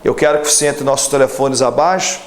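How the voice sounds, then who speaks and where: A man's voice: mid-range voice, fast talker. A pastor in his forties preaching a televised sermon and leading a fervent prayer, stretching out emphatic phrases. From Brazil. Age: 40s.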